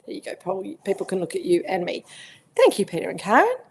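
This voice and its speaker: Croaky Australian woman hosting a livestream. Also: medium pitch, wildly swooping pitch, fast talker, slight background noise. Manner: chatty, greets viewers by name, warm asides. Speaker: winemaker hosting a virtual tasting. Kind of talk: casual livestream chat.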